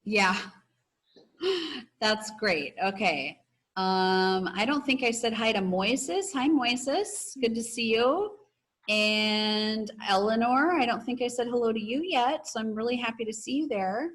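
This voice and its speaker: A woman's voice: a little high, animated, slow pace, fairly bright tone. A woman teacher with an encouraging way of talking, speaking to her pupils as she would in a read-aloud class. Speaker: teacher reading aloud and leading class discussion. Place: online video class. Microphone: video call.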